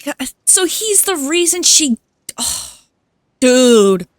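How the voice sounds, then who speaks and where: A woman's voice: sits high, very animated, takes it slowly, no room sound, studio quality. A woman gaming streamer reacting casually to play, exclamatory and sweary. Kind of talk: casual live gameplay reactions.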